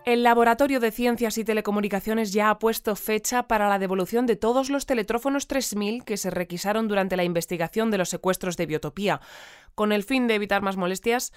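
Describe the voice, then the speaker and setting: An actress plays a composed female radio newsreader with an accent from Spain. Her voice is very clear and smooth, recorded dry. She reads and interviews in a formal, orderly way, as in a scripted audio drama bulletin. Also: medium pitch, brisk, no echo, soft background music, natural voice. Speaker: news bulletin presenter.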